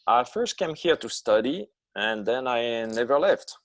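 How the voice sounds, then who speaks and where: A man in his fifties with a foreign accent, answering interview questions over a video call in halting English with many pauses. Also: mid-range voice, expressive, no echo. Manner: halting, non-native phrasing with many uh pauses. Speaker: guest, long-distance ocean swimmer.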